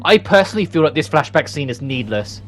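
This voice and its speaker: A man's voice: a little high, big pitch swings, quick pace, a bit bright. A man hosting a let's play, reading story dialogue aloud and adding casual commentary. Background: game music.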